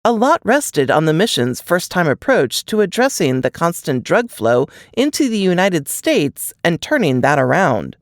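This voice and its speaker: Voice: mid-range voice, sing-song, normal speed, hardly any pauses, no echo, studio quality. A narrator reading a suspense novel aloud in scripted audiobook style.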